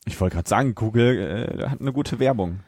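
A man's voice: medium pitch, brisk, a little mellow, husky, no echo, studio quality. A man co-hosting a podcast, chatting casually about a film in a colloquial, agreeable way. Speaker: podcast co-host.

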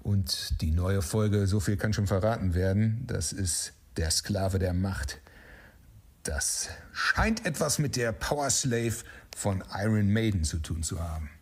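Husky man explaining his creative project. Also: fairly low pitch, unhurried. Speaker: interview guest, audio drama writer. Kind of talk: explanatory interview talk.

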